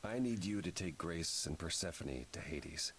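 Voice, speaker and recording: An actor voicing a grave, weary god in a video game, speaking somberly and carefully. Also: male voice, low voice, expressive, moderate pace, fairly bright tone, slight background noise. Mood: reluctant, grave. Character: grave, weary male god giving solemn warnings. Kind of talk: dramatic video game voice acting.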